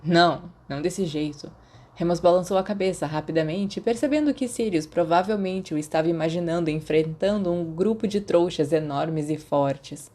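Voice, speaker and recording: A woman's voice: on the low side, normal speed, hardly any pauses, dark tone. A woman with a Brazilian accent reading scripted fiction as a podfic narrator.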